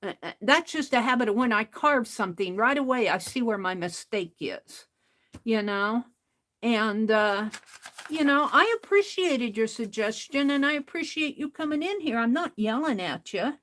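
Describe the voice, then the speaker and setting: A woman hosting an art livestream, talking casually to chat in a loud voice, rambling and restarting her sentences. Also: fairly high pitch, expressive, normal speed.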